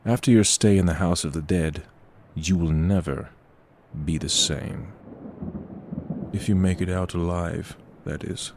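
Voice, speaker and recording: An actor playing a grave, formal representative of a haunted inn in an audio horror drama, speaking in a measured, ominous way. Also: male voice, deep voice, background noise. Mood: ominous warning.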